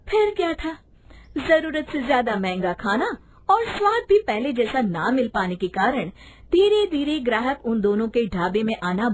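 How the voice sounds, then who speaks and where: A woman's voice: a little high, husky. A woman storyteller giving scripted narration of a children's bedtime tale.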